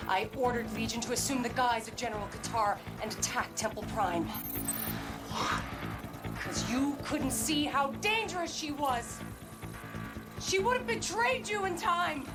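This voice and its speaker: A woman's voice: high voice, lively intonation, unhurried. A woman acting a fervently devoted, suspicious priestess of a militant cult in a dramatic video game cutscene, speaking with solemn, theatrical intensity. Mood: desperate defiance. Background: loud dramatic music.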